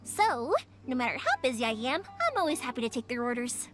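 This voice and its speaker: A woman's voice: fairly high pitch, sing-song, a little fast, fairly bright tone. A woman voicing a cheerful, talkative young fireworks maker in a game dub, eager to help everyone. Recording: game audio with music behind.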